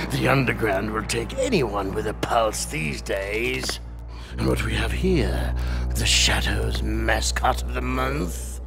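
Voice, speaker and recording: A man's voice: on the low side, expressive, takes it slowly, vocal fry, over music, full character voice. An actor playing a huge, greedy, menacing male crime boss in a video game, who drawls and sneers with a mocking tag on his lines. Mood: oily menace. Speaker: game character.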